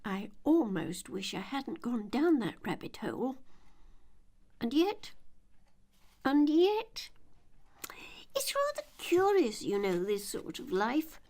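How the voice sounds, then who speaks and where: Storybook little girl, played by a female reader: curious and fretful, high voice, very slow old-fashioned musing. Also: sing-song, darkish tone, heavily acted. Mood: wistful, curious. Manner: musing aloud, exclaiming, polite old-fashioned phrasing.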